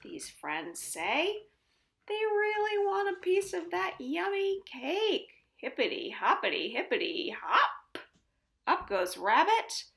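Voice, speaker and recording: Lady doing storytime for little kids, super expressive, slow, bit of creak in her voice, echoey room at home. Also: extremely high pitch. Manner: expressive, with drawn-out words, sound effects and gasps. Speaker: children's storytime reader. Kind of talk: animated picture-book read-aloud for young children. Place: indoors at home. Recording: roomy, echoing home recording.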